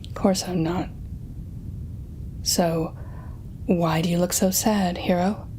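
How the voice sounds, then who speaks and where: A woman's voice: lowish voice, steady tone, warm tone, slight background noise. A woman playing a sardonic, smug telepathic supervillain, taunting and theatrical as she speaks to the listener in an audio roleplay drama. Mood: probing, sly. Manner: taunting, theatrical, drawn-out teasing phrasing.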